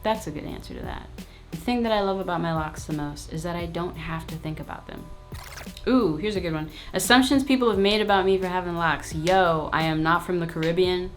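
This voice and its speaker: A woman with a low, full speaking voice, talking straight to her viewers in an easygoing vlog Q&A. Her manner is frank and casual, with plenty of slang and asides. Faint music sits underneath. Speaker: YouTube host.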